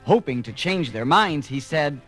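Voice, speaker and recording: A man's voice: fairly high pitch, expressive, unhurried, a bit bright, faint music behind. A man narrating a scripted children's story.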